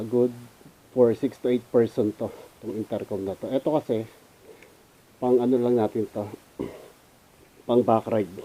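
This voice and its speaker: Filipino guy doing a laid-back gear review, slow talker, really mellow dark voice, mixes in english. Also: mid-range voice, rough recording. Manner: informal, switches between Tagalog and English. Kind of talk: casual unscripted product review.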